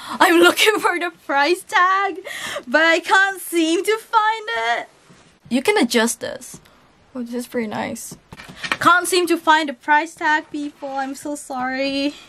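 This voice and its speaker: A young woman with a cold, chatting excitedly as she unboxes and shows clothes in a haul video. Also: very high-pitched, unhurried. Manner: chatty and excitable, chuckles at prices. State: has a cold. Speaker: channel host unboxing a lucky bag. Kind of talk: casual haul vlog voice-over. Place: indoors at a table.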